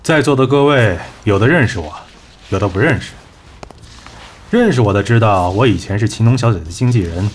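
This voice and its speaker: A man's voice: fairly low pitch, lively intonation, a little fast, slight background noise, natural voice. A man playing a confident, wry talent manager turned executive in an audio drama, assured and dry. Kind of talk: Chinese audio drama acting.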